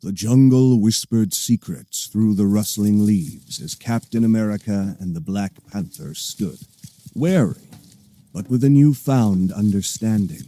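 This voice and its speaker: Casting: male narrator for action audio drama, mellow dark tone, highly varied intonation, dramatic scripted delivery. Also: expressive, measured pace.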